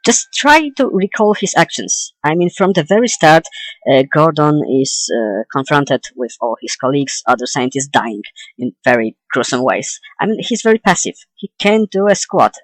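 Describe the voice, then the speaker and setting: A young adult man giving an opinionated, informal video essay as a game commentator, halting and correcting himself as he goes. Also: fairly high pitch, expressive, no echo, rough recording. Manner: hesitant, halting delivery with self-corrections.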